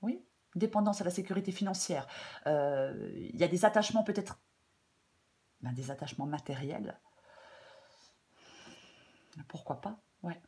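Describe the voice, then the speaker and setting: A woman with a French accent giving a tarot reading in a casual, hesitant way, slightly hoarse, with many fillers and repeated phrases. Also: lowish voice, lively intonation, normal speed, mellow. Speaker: tarot reader. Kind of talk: casual spoken tarot guidance.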